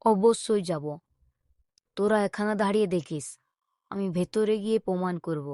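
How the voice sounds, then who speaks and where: A woman's voice: mid-range voice, normal speed, dark tone, no echo, clean studio sound, natural voice. Cocky village boy, played by a woman. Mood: defiant. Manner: defiant, cocky. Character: bold, skeptical village boy who mocks ghosts.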